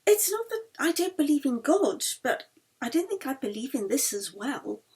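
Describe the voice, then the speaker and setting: A woman's voice: sits high, sing-song, moderate pace, a little mellow, pitched above the performer's own voice. A woman narrator voicing a polite, hesitant woman assistant in an audiobook, speaking tentatively.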